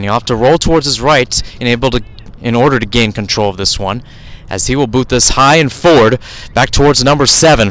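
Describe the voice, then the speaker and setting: A man's voice: medium pitch, a bit bright. A man calling live soccer play-by-play for radio from outdoor fields, talking in a rambling way and often correcting himself.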